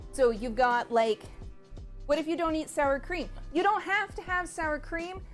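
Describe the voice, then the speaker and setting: A woman's voice: sits high, unhurried, fairly bright tone, soft background music. A woman co-hosting a cooking stream from her home kitchen, chatting in a playful, rambling way. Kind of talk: casual chat while cooking.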